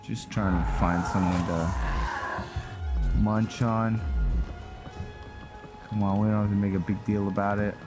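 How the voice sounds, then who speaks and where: A man's voice: lowish voice, level tone, measured pace, long pauses. A man giving casual let's play commentary, laid-back and musing, often talking to himself as he plays. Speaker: gamer and role-play series host. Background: game music.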